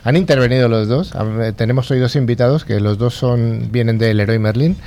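A man's voice: mid-range voice, brisk, warm tone. A man hosting a radio interview, chatty and asking leading questions. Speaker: radio host.

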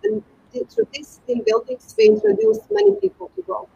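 Middle-aged Croatian-accented woman, interview guest, very creaky voice, slightly muffled audio. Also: very high-pitched, fairly even intonation, brisk, dark tone. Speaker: golf club sales and marketing manager, podcast guest. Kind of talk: conversational interview answers.

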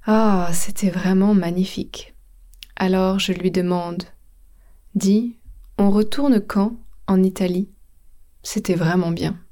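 Slow, mellow-voiced French teacher. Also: female voice, mid-range voice, steady tone. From France. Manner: slow, careful explanation mixing French and English. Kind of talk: podcast language lesson.

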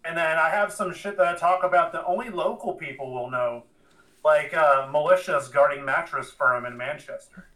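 Bright, higher-pitched man, slightly distant. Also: moderate pace. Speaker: podcast host, rapper. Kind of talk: casual podcast chat.

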